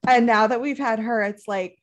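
A young woman co-hosting a casual podcast chat, talkative and quick to giggle. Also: fairly high pitch. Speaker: podcast co-host, nurse.

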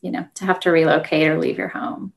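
A woman's voice: deep voice, flat delivery, fast talker, creaky. An American woman interviewing a guest in a conversational, unscripted way.

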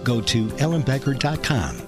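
Man reading a radio sponsor spot, animated delivery, music bed underneath. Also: mid-range voice, normal speed. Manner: polished, lively delivery. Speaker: announcer. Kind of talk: sponsor announcement.

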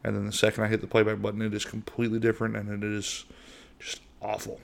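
Man, low voice, gravelly, monotone, rambling podcast host, middle-aged, from the US. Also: fast talker. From United States. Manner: rambling, with frequent fillers and restarts. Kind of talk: casual solo podcast monologue.

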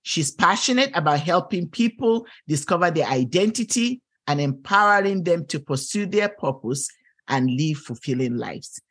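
Gracious woman podcast host, lowish voice. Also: expressive, moderate pace, breathy edge. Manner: gracious, many fillers and affirming "mm-hmm"s. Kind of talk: interview hosting.

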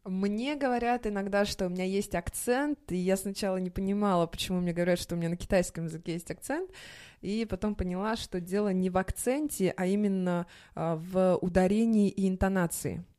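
A woman's voice: medium pitch, fairly quick, dark tone. A woman from Russia, a native speaker of Chinese and Russian, answering interview questions conversationally. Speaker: interview guest.

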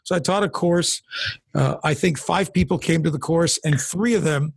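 A middle-aged pastor speaks with a husky, gravelly voice that sits a little high for a man. He tells his testimony earnestly and conversationally, circling back and repeating words as he finds them. The sound is dry and close. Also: moderate pace, no room sound. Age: 40s or older. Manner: earnest, storytelling, frequent restarts and repeated words. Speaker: pastor and guest. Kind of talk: personal testimony in a conversational interview.

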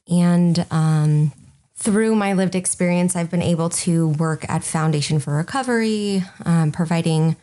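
Chatty young woman guest, low-toned. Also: mellow. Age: 20s. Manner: open and chatty, many "um"s. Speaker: podcast guest. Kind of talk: casual interview chat.

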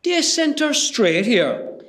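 A man's voice: very high-pitched, big pitch swings, slow, heavily acted. A man playing a teasing, easygoing hospital porter of about forty with a West Cork Irish accent in an amateur radio comedy. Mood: gossipy. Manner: bantering, chatty.

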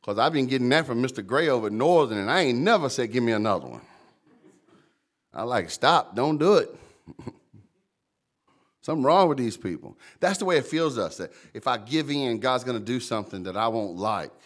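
Big-voiced pastor preaching. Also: male voice, mid-range voice, fairly quick. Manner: lively, conversational preaching, calls out congregants by name. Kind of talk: sermon. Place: church.

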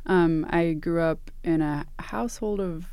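A woman's voice: lowish voice, measured pace, a little mellow, no room sound. A woman from East Tennessee, an artist and academic advisor, answering interview questions thoughtfully with frequent ums and restarts. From East Tennessee, USA.

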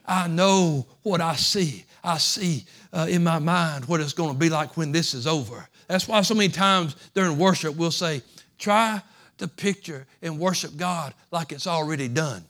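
A man preaching a sermon in a slightly high voice. He talks in a folksy, repetitive way, moving from soft conversational stretches into loud, fervent preaching. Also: moderate pace. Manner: folksy, repeats phrases. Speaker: pastor.

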